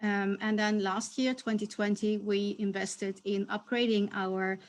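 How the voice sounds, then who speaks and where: A woman executive giving a measured, explanatory presentation in a webinar. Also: mid-range voice, fairly even intonation. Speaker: company executive.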